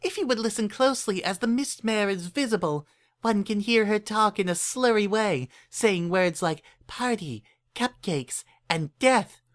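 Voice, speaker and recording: Woman voicing a high-pitched spooky storytelling filly. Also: lively intonation, a little slow, lightly acted. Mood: spooky, insistent.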